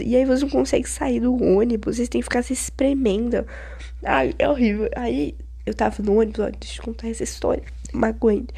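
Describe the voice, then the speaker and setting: A Brazilian teenage girl chatting through her own podcast, her voice congested from a cold, rough with vocal fry and a husky edge. She rambles and complains with dramatic flair. Her tone sits a bit high yet mellow and dark. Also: dry recording. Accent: Brazilian Portuguese. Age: late teens. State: stuffy-nosed with a cold. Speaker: podcast host. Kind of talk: casual solo podcast chat.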